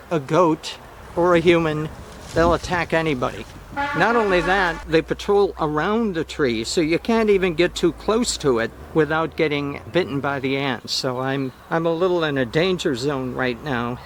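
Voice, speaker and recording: Woman in her 60s, outdoor farm vlog, conversational explaining, emphatic storytelling, audible ambient noise. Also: deep voice. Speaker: farm vlogger, presenter. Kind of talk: informal explanatory nature talk to camera. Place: outdoors among trees on a farm. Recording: clear outdoor background noise.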